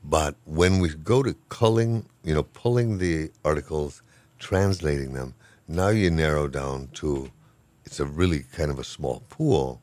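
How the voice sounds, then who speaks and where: An older man with an extremely deep, low voice talks in a relaxed, rambling way. He is good-humored and self-deprecating, a professor chatting through an interview. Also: a little slow, dry recording. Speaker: professor of Hawaiian language. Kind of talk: casual interview talk.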